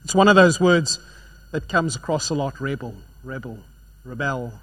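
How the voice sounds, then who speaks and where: A man preaching a sermon, swinging between loud emphatic passages and quiet, low ones. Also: expressive, moderate pace.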